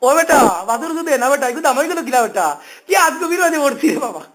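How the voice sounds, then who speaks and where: A man's voice: very high-pitched, expressive, fast talker, fairly bright tone. A man with a Sylheti accent on a phone call, talking informally in a pleading, complaining, repetitive way. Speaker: phone caller.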